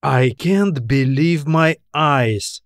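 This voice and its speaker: A man voicing a worried, earnest woman astronaut with a drawn-out, wondering delivery in an audiobook. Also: on the high side, expressive, exaggerated voice. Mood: amazed.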